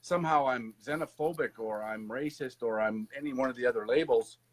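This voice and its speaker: A man's voice: medium pitch, brisk, a little hiss or hum, poor audio. A man interviewing a guest in a conversational interview, emphatic and opinionated.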